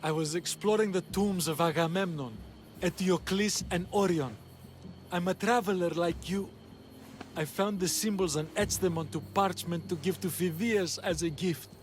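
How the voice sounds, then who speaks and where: High-voiced, earnest ancient Greek traveler played by a man. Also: moderate pace, natural voice. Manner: earnest, pleading. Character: weary, frightened male traveler in ancient Greece, grateful. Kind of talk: video game voice acting. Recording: music behind the voice.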